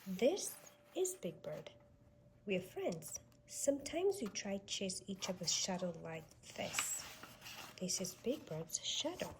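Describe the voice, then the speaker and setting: A woman reading a children's storybook aloud with expressive, sing-song delivery aimed at young children. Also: medium pitch, slow pace, noisy background. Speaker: storybook reader.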